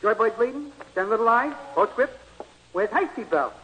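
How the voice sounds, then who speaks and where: Old radio comedy, excitable small-time gambler, extremely high voice, swooping, slangy. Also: male voice, normal speed. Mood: frantic. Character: excitable, broke small-time horse-betting sidekick. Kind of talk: 1940s radio comedy acting. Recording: slightly noisy 1940s radio broadcast.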